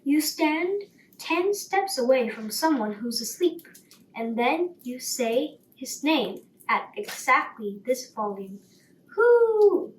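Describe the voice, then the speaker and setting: A pompous little sparrow played by a woman reader lectures like a proud inventor in a bedtime story. The voice is fairly high and very slow, heard in a roomy space. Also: sing-song, full character voice. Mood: explaining, self-assured. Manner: pompous, explanatory. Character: self-assured male sparrow, a know-it-all inventor. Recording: roomy, distant mic, faint noise.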